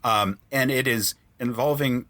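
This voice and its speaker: A man hosts a casual podcast with a bright, forward voice and a very dry sound. His manner is informal and conversational, with the odd casual swear word. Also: mid-range voice, unhurried, dry recording. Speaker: podcast host.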